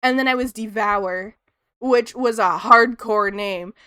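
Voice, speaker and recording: A woman's voice: fairly high pitch, slow pace, bright, forceful tone, clear, resonant tone, no echo, studio quality. A woman streaming her art, chatting casually and rambling with frequent laughs, in a soothing voice. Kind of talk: casual chat while drawing on stream.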